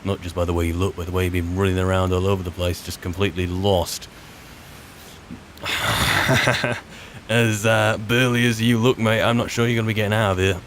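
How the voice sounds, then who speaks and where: A man's voice: sits low, fairly even intonation, normal speed, fairly bright tone, a little hiss or hum. A man improvising in-character commentary as he roleplays through a video game, musing and wry as he chats.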